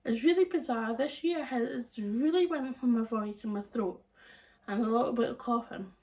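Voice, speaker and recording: A woman hosting her sewing channel, chatting to camera from her living room with a hoarse, croaky voice and frequent laughs. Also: fairly high pitch, moderate pace, a little mellow, recorded from a distance. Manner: chatty, laughs often, meandering. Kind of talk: casual chat to camera.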